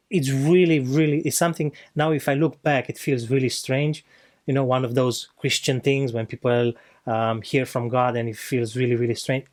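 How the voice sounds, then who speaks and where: A young man from Romania with a Romanian accent, co-hosting a podcast and telling his story in an earnest, halting way with many fillers and restarts. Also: mid-range voice. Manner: earnest, searching for words with frequent fillers and restarts. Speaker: podcast co-host. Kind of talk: casual personal testimony. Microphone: video podcast mic.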